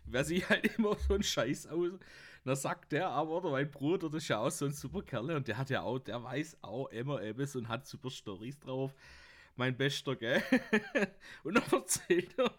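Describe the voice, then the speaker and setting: Brief: young man, somewhat high voice, rambling casual storyteller who keeps cracking up. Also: animated, normal speed, a bit bright. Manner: laughs often at his own stories, rambling. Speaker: podcast host. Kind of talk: casual anecdote telling.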